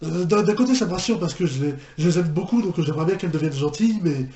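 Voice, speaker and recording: Man, slightly high voice, casual reaction commentary, repetitive hesitant phrasing, echoey room. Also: lively intonation, fast talker, dark tone. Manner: hesitant, repetitive, incredulous exclamations. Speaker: reaction video host. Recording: echoey room, faint noise.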